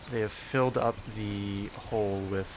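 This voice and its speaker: A man with a congested voice giving a dry, sarcastic narrated tour of a run-down cemetery, often halting and correcting himself. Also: fairly low pitch, creaky, noisy background. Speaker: video host.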